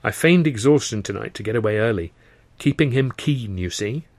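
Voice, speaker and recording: A man's voice: fairly low pitch, lively intonation, normal speed, darkish tone, no echo. A man voicing a flighty, teasing young woman dancer in audiobook dialogue, rambling breezily. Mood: wry. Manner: breezy, rambling, with tag questions.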